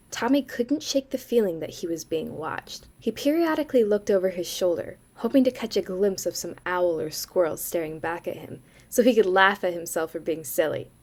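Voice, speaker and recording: Girl acting creepy sardonic young woman telling a spooky tale, dry and deliberate, radio play style. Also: fairly low pitch, animated. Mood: ominous, measured. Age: young adult. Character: sardonic eerie young woman telling campfire horror tales. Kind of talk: student audio drama acting, spooky storytelling.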